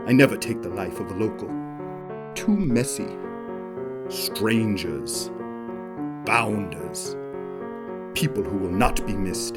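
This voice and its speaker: A man playing an amoral, self-justifying innkeeper in an audio drama, with a theatrical, articulate, formal and wry delivery. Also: low-pitched. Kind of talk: dramatic first-person monologue narration in an audio drama.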